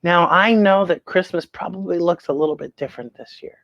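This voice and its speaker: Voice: medium pitch, fairly quick, gravelly, fairly bright tone, no room sound, muffled recording. A children's show host talking to young viewers in a theatrical, emphatic storytelling way, drawing out words.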